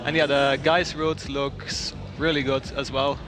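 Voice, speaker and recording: A man's voice: mid-range voice, level tone, measured pace. A man with an Austrian accent giving casual live sports co-commentary. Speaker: co-commentator and competition climber. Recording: music playing behind the voice.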